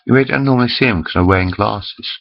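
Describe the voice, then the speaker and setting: A man's voice: lowish voice, fast, vocal fry, lo-fi sound. A man in his forties rambling chattily to his webcam, interrupting himself often. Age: 40s. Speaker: vlogger. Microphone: built-in computer camera mic.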